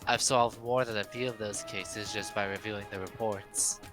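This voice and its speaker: A man's voice: fairly low pitch, steady tone, normal speed, music in the background, lightly acted. A man voicing a haughty, pompous young heir from a mystery game, declaiming with relish. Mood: self-satisfied.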